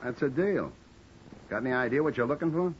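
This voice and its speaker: An actor playing a cynical small-time private detective in an old radio drama, casual and wisecracking. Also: male voice, mid-range voice, expressive, a little fast, natural voice. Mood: needling. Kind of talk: 1940s-50s radio detective drama acting. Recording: muffled, noisy old radio broadcast recording.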